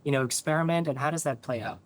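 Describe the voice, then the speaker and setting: A man's voice: on the high side, quick pace. A man hosting a podcast, asking casual questions with affirming "yeah"s and "you know"s. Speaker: podcast host.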